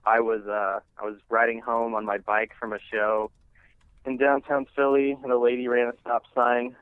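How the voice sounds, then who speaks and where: A man being interviewed as a musician, chatting casually and haltingly, often saying "like" and "you know". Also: medium pitch, flat delivery, clean tone, no echo. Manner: halting and conversational, heavy use of "like" and "you know". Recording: thin phone-line sound.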